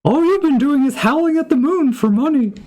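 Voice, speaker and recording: A man squeaking out a shrill cartoon character. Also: animated, a little fast, warm tone. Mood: indignant. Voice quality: high squeaky put-on voice. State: losing his voice.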